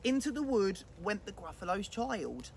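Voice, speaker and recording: A woman's voice: mid-range voice, very animated, normal speed, gravelly, a little hiss or hum. A woman reading a children's bedtime story aloud with dramatic, rhythmic expression.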